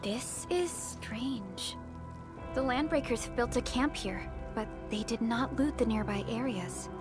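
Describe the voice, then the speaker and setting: A woman's voice: a little high, unhurried, lightly acted. A woman voicing a composed, analytical young supervisor in video game story dialogue. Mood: puzzled, analytical. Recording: music behind the voice.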